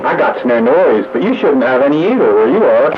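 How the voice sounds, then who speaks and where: A man's voice: mid-range voice, sing-song, a little fast. A man bantering casually as an amateur operator over a two-way radio. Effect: two-way radio transmission. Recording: muffled, noisy two-way radio audio.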